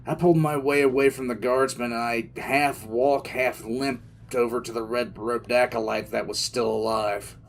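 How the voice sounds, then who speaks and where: A man playing a shaken, battle-worn military commissar who tells his war story in halting, dramatic first person. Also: mid-range voice, fairly even intonation, gravelly, lightly acted. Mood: shaken, grim. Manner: halting, repeated phrases, dramatic emphasis. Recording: music under the voice.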